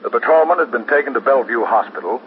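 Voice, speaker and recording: Actor as a matter-of-fact police captain, muffled old radio. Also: male voice, medium pitch, expressive, lightly acted. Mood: measured, official. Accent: American. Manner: measured, matter-of-fact, official. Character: police captain, calm methodical man, questioning and narrating. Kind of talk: 1950s radio police drama acting. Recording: muffled, noisy 1950s radio recording.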